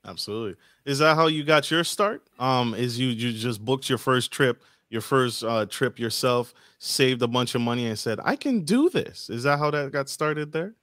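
Bright-voiced energetic male podcast host. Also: medium pitch, normal speed, few pauses, no echo, clean studio sound. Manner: energetic, stumbling repetitions. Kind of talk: podcast interview. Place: home studio with green screen. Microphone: studio mic with headphones.

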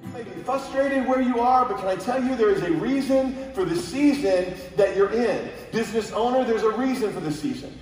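A man's voice: high-pitched, lively intonation, brisk, few pauses, darkish tone, soft background music. A man preaching a conversational sermon with rhetorical questions, speaking directly to listeners over a PA in a large auditorium. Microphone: heard over PA from audience seats. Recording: very echoey hall from far off.

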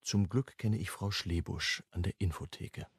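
Low, husky hunted sleuth. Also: male voice, steady tone, unhurried, dry recording, studio quality, full character voice. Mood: tense, wry. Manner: clipped, brooding, first-person telling. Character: hunted, wry amateur sleuth narrating his own story. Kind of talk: German radio crime drama narration and acting.

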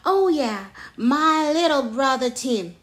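A woman voicing a young male ape in a children's storybook, excitable, whiny and grumpy, exclaiming as he talks. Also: fairly high pitch, slow pace, heavily acted. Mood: grumbling.

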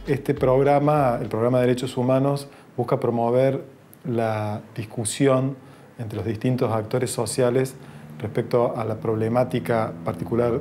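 A middle-aged man, speaking formally and deliberately with hesitations in an interview. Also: mid-range voice, dark tone, slight background noise. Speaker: human rights program member, interviewee. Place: indoor room, seated interview.

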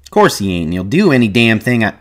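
Man reading as gruff ranch hand, anxious, rural drawl, lowish voice. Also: big pitch swings, quick pace. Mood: worried. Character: young adult male ranch hand, anxious and protective.

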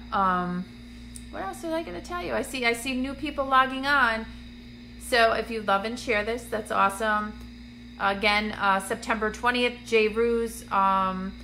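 A woman's voice: mid-range voice, sharp tone, a little hiss or hum, rough recording. Congested woman chatting on a phone live stream. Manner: chatty, greets viewers by name, many ums. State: congested, getting raspy. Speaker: medium hosting a live stream. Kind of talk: casual livestream chat to viewers. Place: dim indoor room, seated on a couch. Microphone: phone camera at arm's length.

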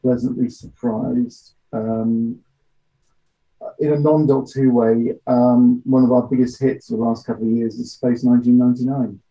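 A middle-aged man giving measured, matter-of-fact interview answers with hesitations over a video call from a small office. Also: medium pitch, little pitch movement, mellow. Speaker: audio drama producer being interviewed. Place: small home office, indoors. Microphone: computer mic on video call. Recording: dull, lo-fi video-call audio.

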